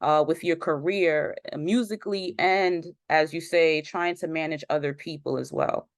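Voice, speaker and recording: A woman's voice: sits low, steady tone, normal speed, no echo, clean studio sound. Upbeat woman hosting an interview. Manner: upbeat, repeats words, many "you know" fillers. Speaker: interview host.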